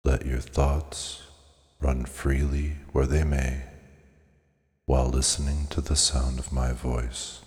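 A man narrating a scripted guided meditation in a measured, soothing, hypnotic way with deliberate pauses. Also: very deep voice, flat delivery, darkish tone. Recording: long cavernous reverb on the voice.

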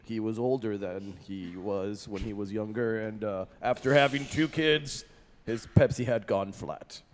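A man doing improvised radio comedy, reading haltingly and breaking off flustered. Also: on the low side, in a large hall, slight background noise.

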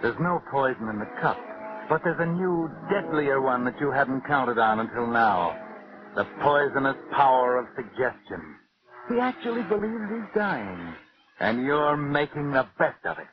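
An actor playing a sinister, all-knowing narrator of a 1940s radio suspense show, insinuating and mocking. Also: male voice, mid-range voice, very animated, measured pace. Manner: insinuating, theatrical. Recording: muffled noisy old radio broadcast.

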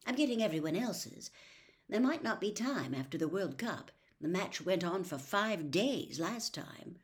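A woman's voice: lowish voice, sing-song, a little slow. A woman voicing a fussing, bossy middle-aged mother.

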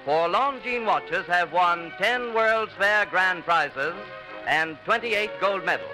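A man's voice: high-pitched, takes it slowly, sharp tone, rough recording. A man reading a formal vintage radio advertisement as the commercial announcer. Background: music.

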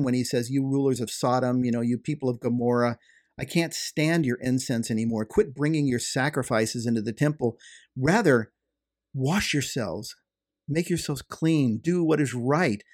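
A man's voice: medium pitch, darkish tone. Earnest man explaining theology. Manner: earnest, emphatic explainer who restates points. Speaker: podcast co-host. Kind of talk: theological conversation.

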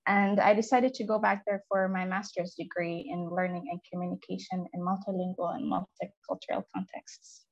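A young woman from Israel, a podcast guest who explains things in a measured way. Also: fairly low pitch, little pitch movement, no echo, highs cut off. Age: young adult. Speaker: interview guest. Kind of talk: conversational interview.

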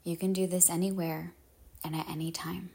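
A woman leading a guided meditation in measured, solemn phrases with pauses, her husky voice trailing into breathiness. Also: fairly low pitch, little pitch movement, warm tone.

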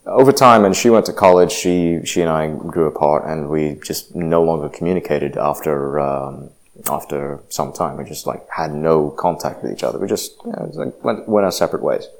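A man's voice: a bass voice, normal speed, creaky. A man giving a reflective personal testimony, hesitant and full of fillers and restarts. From grew up partly in Indonesia.

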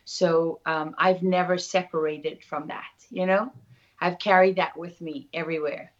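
A woman's voice: lowish voice, steady tone, unhurried. A middle-aged woman with a South African accent, talking reflectively and anecdotally as an interview guest. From Durban, South Africa. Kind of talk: conversational interview. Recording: slightly dull, lo-fi audio.

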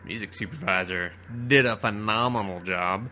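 A low-pitched man with a very bright, cutting voice does casual comic commentary as a co-host. He is sarcastic and crude in his joking. There is faint noise on the recording. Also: moderate pace. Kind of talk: casual comic riffing over a film.

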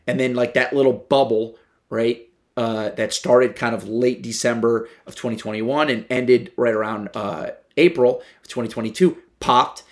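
A man hosting a podcast, talking casually with lots of fillers and tag questions. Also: mid-range voice. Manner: loose, filler-heavy, tag questions like "right?". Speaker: podcast host. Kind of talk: casual conversation.

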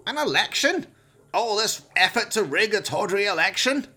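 A man's voice: sits high, expressive, moderate pace, bright, forceful tone, slightly rough, pitched above the performer's own voice, full character voice. A man voicing a groveling, degenerate mad scientist with pleading melodrama for a comic horror radio play. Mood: indignant.

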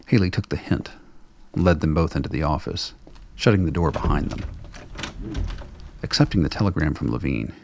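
A man with a gravelly, husky voice reading scripted narration for a radio play. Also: a bass voice, no room sound.

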